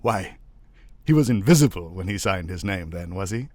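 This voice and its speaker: A man playing a pompous, exasperated high official in a storybook reading, loud, indignant and imperious. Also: lively intonation, moderate pace, no echo.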